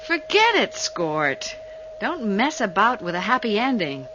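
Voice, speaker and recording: A woman's voice: mid-range voice, sing-song, unhurried. An actress playing a breezy, pragmatic young woman in a radio drama. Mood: wry, reassuring. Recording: dull noisy old radio drama with hum.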